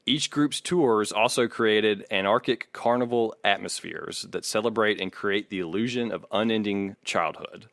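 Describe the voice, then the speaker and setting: Low-voiced man co-hosting a casual podcast. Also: fairly bright tone, dry recording, studio quality. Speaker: podcast co-host. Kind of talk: casual podcast discussion, partly reading aloud.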